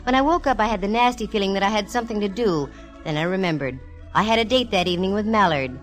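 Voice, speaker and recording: A woman's voice: mid-range voice, fast, faint music behind, close to an everyday voice. An actress playing a wisecracking, self-assured female private eye in a vintage radio detective drama, wry and breezy in delivery. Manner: wry, breezy, dryly humorous. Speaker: lead actress narrating a detective serial. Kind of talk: 1940s radio detective acting and narration. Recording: vintage radio recording with musical backing.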